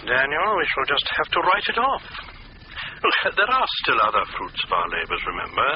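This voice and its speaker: A suave criminal mastermind in a vintage radio thriller. He is pompously courteous and patronising, with lilting, lively pitch. The sound is dull and clearly hissy. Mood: suave, unruffled. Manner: formal, measured, pompous courtesy. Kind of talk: 1970s radio drama acting. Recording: dull, noisy old radio broadcast.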